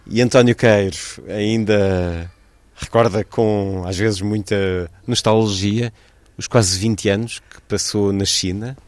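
A man hosting a radio interview, asking measured questions to prompt his guest. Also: fairly low pitch, fairly even intonation, dry recording.

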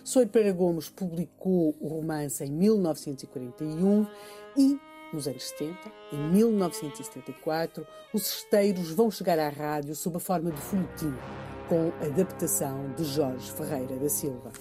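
Low, dark-voiced Portuguese woman presenter. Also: normal speed, faint music behind. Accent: European Portuguese. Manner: emphatic, repeats phrases for effect. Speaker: radio programme presenter. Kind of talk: explanatory documentary presentation.

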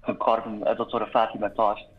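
A man from Canada, born in Toronto, answering interview questions hesitantly, with many filled pauses and restarts. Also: on the low side, level tone, narrow, tinny audio. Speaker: guest.

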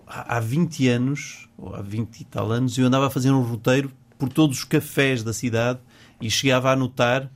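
A man's voice: medium pitch, fairly even intonation, normal speed. A man from Porto with a northern Portuguese accent and a mid-range voice, a guest chatting on the radio. He talks in a hesitant, friendly way, repeating words as he searches for them and laughing easily. He is recorded on a studio microphone. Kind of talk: casual interview chat.

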